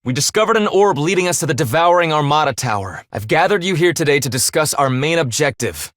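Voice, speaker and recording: A man's voice: fairly high pitch, expressive, quick pace, a bit bright, dry recording, studio quality. A man voicing a stern, blunt young half-human leader in a video game, speaking in a clipped, formal, commanding way. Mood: businesslike. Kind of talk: English video game voice acting.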